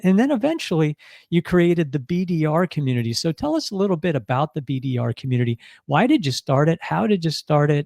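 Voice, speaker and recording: Male podcast host, slightly higher voice, warm and dry, enthusiastic interviewer. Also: creaky, no echo. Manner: friendly, enthusiastic questioning.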